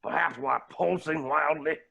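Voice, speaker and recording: A raspy, creaking villain of a billionaire played by a man, pitched fairly high. He is oily, smug and theatrical, relishing his menace in a comic stage play. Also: steady tone, normal speed, bright, forceful tone, highs cut off, heavily acted. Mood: smug, sinister.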